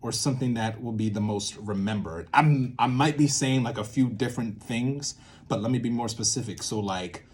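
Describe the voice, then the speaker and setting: A man's voice: medium pitch, moderate pace, a little mellow. A man giving an informal, improvised tutorial, rambling playfully and thinking aloud with asides to himself. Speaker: theatre maker presenting a creative method series.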